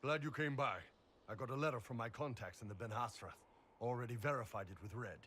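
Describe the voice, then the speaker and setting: An actor as a blunt mercenary captain, some noise. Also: male voice, mid-range voice, a little fast, pushed and bright, lightly acted. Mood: frank. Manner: blunt, informal. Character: big, blunt, easygoing mercenary warrior captain. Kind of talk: video game dialogue acting.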